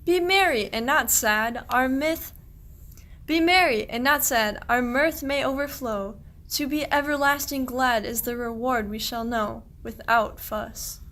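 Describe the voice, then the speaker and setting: A woman's voice: high voice, unhurried, a bit bright. A woman playing a kindly, devout medieval shepherd, declaiming rhymed verse in a radio play. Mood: hopeful.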